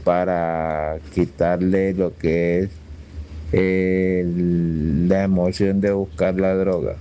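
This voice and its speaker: A man's voice: low-pitched, level tone, drawn-out words, mellow, a little hiss or hum. A man in his forties calling a radio show, speaking politely and hesitantly. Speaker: caller. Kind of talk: phone call to a radio show.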